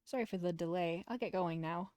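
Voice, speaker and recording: A woman's voice: lowish voice, a little fast, dark tone, dry recording, studio quality, natural voice. A woman reading the lines of an awkward, considerate young man in a visual novel, plain and understated. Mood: apologetic.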